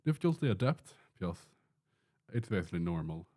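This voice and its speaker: A man's voice: low voice, very animated, brisk, warm tone. A man with a Swedish accent, chatting and joking through a live gameplay stream while answering questions from chat. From Sweden. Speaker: game streamer. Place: indoor room with a door.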